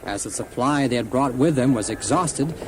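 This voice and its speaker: A man's voice: medium pitch, moderate pace, noisy background. A man narrating a scripted children's Bible story in a solemn, dramatic storytelling manner.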